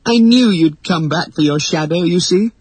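A storybook narrator voicing an excited, chattering young girl with a gushing, emphatic manner. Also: mid-range voice, unhurried, slightly rough, no room sound, voice pushed up. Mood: eager. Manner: gushing, breathless, emphatic exclamations. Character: excited, chattering young girl, warm-hearted and wide-eyed. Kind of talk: acted storybook dialogue.

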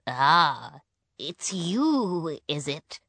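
Woman as an old male wizard, deliberate, very slow, knowing, incanting, storybook tale. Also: high voice, sing-song, pushed and bright, no room sound, pitched above the performer's own voice, full character voice. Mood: muttering, knowing. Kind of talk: children's storybook character voice.

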